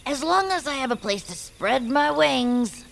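Young winged creature, audio fiction: high creaky voice, unhurried, earnest, imaginative. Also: female voice, lively intonation, a little hiss or hum, full character voice. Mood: bored, playful.

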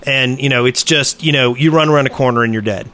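Casting: male podcast co-host, average pitch, bright forceful tone, informal talk. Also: quick pace. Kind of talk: casual chat.

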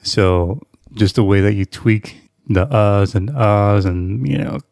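A man with a gravelly voice, hosting a podcast, who prompts the others with curious questions. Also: lowish voice, slow pace, a little mellow, no echo, studio quality. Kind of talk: casual podcast chat.

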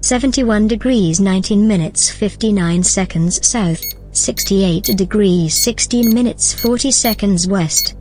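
A woman plays a commanding female guide in an audio drama, mid-pitched and terse. She gives insistent, clipped instructions over faint music. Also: a little slow, few pauses, darkish tone, close to an everyday voice. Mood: measured. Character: urgent, commanding woman guiding an escape.

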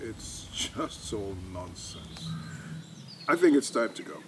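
A man's voice: fairly low pitch, very animated, slow pace. Man acting a weary, cynical doctor outdoors. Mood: dismissive. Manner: hesitant, resigned, fatalistic. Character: weary, cynical, detached male doctor about to leave. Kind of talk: naturalistic audio drama acting. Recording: faint outdoor ambient noise. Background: birdsong, outdoor ambience.

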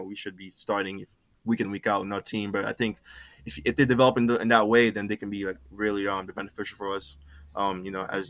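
Young male caller, quick and bright, dry sound, plenty of ums and restarts. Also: lowish voice, no echo. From Cameroon, raised in the United States. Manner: fast, halting, full of fillers and restarts. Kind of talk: casual football chat.

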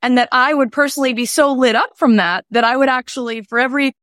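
A woman's voice: sits high, fast, fairly bright tone, no room sound, clean studio sound. A woman hosting a podcast interview, asking guiding questions in an enthusiastic tone. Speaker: podcast host.

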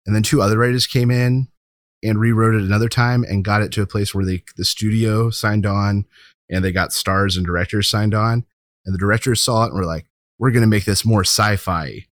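A man's voice: fairly low pitch, fairly even intonation, fairly quick, no room sound. A man hosting a podcast, chatting casually about films and leaning on key words in an informal way. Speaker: podcast host. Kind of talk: casual film discussion.

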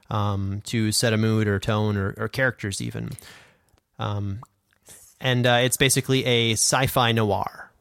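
A man's voice: mid-range voice, fairly bright tone, no echo, studio quality. A man co-hosting a podcast, chatting casually as he gives recommendations. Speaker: podcast co-host. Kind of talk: casual chat recommending audio drama podcasts.

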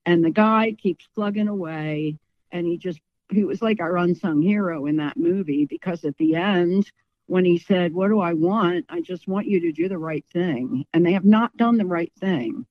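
A woman's voice: fairly low pitch, normal speed, no room sound. A woman speaking as an interview guest in a conversational, outspoken and emphatic way, with slightly lo-fi sound.

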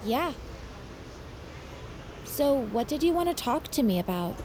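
A competitive, snippy young woman in an audio drama. Her voice is high and swoops around, with clear outdoor traffic noise behind it. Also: sing-song, a little slow, a little mellow. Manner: blunt, sarcastic, challenging. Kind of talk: naturalistic audio fiction acting.

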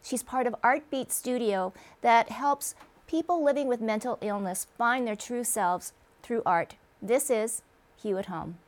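A woman's voice: a little high, unhurried. A woman hosting a talk show, chatty and upbeat as she introduces guests and segments. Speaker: host.

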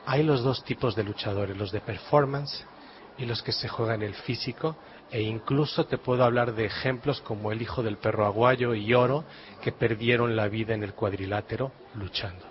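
A man's voice: lowish voice, moderate pace, slight background noise. A man from Galicia, a novelist, answering interview questions in a reflective, literary way with dry humor. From Galicia, Spain. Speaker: novelist guest. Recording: dull lo-fi radio sound.

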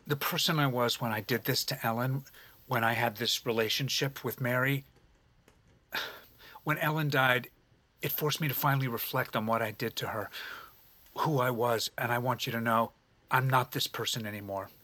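President: earnest, remorseful, mid-pitched, forceful and raspy, intimate audio drama delivery. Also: male voice, fairly even intonation, moderate pace.

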